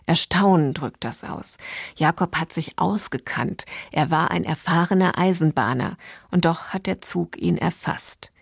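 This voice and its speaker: Woman, low pitch, measured explanatory delivery, scripted radio literary introduction, dry close studio sound. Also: gravelly, breathy edge, no echo.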